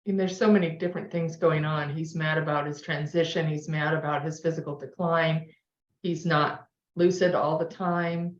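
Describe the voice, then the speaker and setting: A woman's voice: low-pitched, steady tone, normal speed, roomy sound, lo-fi sound. A woman presenting a resident's case in plain, filler-dotted speech over a video call. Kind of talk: teleconference case discussion.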